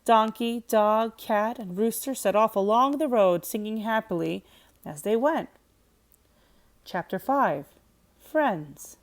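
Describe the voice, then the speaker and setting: A woman's voice: a little high, animated, slow pace. A woman teacher reading a children's storybook aloud as narrator.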